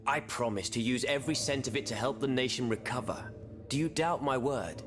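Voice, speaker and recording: A man's voice: medium pitch, fairly quick, close to an everyday voice. An actor playing a principled, self-assured French revolutionary statesman in a game cutscene, with a formal, declamatory delivery. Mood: earnest, controlled. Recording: loud music under the voice. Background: loud music.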